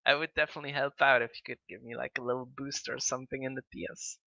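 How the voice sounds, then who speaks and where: A man casts a live game match over a dull, lo-fi mic. His voice is very bright and edgy, and it sounds dry and close. He talks casually and fills gaps with lots of ums and uhs. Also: mid-range voice, little pitch movement, rapid-fire, creaky, no room sound. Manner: chatty, many filler words, thinking aloud. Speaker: esports commentator.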